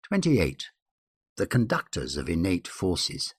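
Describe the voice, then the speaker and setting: Deep-voiced man reading formally, husky edge. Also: wildly swooping pitch, slow, no echo, studio quality. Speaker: guest reader. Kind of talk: formal scripted reading of numbered principles.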